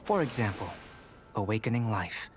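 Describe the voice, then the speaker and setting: An actor playing a calm, curious young alchemist in a video game, speaking in a measured, analytical and articulate way. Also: male voice, on the low side, close to an everyday voice. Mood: calm, intrigued. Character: calm, curious young male alchemist and scholar, politely detached. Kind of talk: scripted video game dialogue acting. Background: game music.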